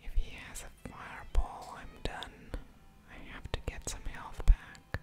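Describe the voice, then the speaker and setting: A woman whispering ASMR game commentary in a breathy voice with soft mouth sounds, musing aloud over each move. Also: unhurried. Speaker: game streamer, ASMR creator.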